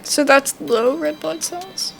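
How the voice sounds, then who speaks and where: A woman's voice: high voice, slow pace, gravelly, a bit bright, soft background music, rough recording. A woman doing casual let's-play commentary on a game, musing aloud as she puzzles things out. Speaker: gamer playing a horror visual novel demo.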